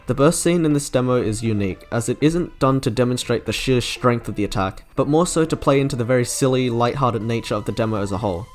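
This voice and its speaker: Young male game reviewer, medium-pitched, scripted commentary over light background music. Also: fast, hardly any pauses. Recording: background music under narration.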